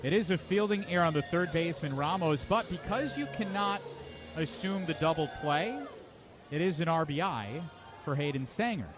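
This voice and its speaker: A man doing live radio play-by-play of a baseball game as the announcer, talking conversationally between pitches and lifting his voice for action. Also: moderate pace, over music. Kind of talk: live radio sports commentary. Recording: live ballpark broadcast with loud background noise. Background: outdoor ballpark ambience.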